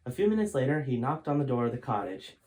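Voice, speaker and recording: A man narrates a storybook with a middling pitch. His reading is expressive and emphatic, in a dramatic storytime style. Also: fast. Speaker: author reading own book aloud.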